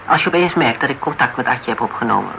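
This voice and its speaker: An actor in a Dutch radio drama playing a terse, wry private investigator with clipped, dry questions, on a noisy recording. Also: male voice, medium pitch, animated, quick pace, vocal fry, pushed and bright. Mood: terse, probing.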